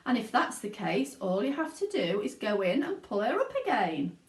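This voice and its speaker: A woman reading a children's storybook, voicing a calm, unbothered, eccentric male factory owner in a brisk, matter-of-fact manner. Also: a little high. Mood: breezy, calm. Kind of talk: children's storybook read aloud. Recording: echoey room.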